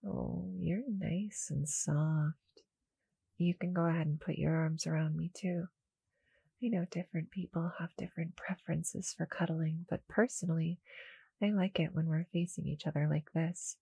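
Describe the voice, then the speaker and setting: A woman voicing a small, sweet female cuddle monster in an intimate ASMR roleplay, soft and breathy, often near a whisper, gentle and a little hesitant. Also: deep voice, steady tone, moderate pace, no echo, exaggerated voice. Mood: cozy, affectionate. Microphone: close, dry studio-style mic.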